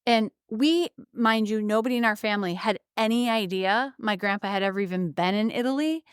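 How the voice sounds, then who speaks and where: A woman from Wisconsin, co-hosting a podcast, telling a family story in a chatty, animated way. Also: medium pitch, a little fast, clear, resonant tone, no echo, studio quality. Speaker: podcast co-host, antique shop owner. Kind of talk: casual storytelling chat.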